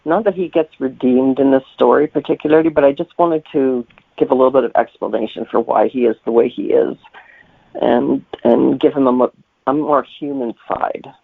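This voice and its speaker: A man with a creaky, gravelly voice talks as a guest author being interviewed. He explains things reflectively and conversationally. The sound is dry and narrow, like a telephone line. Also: medium pitch, fairly even intonation, moderate pace, no room sound. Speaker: guest novelist.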